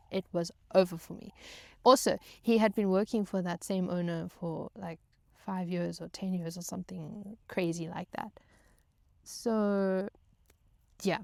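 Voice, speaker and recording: Casting: female vlog host, chatty and wry, unhurried halting delivery, dry close recording. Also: on the low side, dry recording. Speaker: vlog host telling stories. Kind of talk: casual personal storytelling.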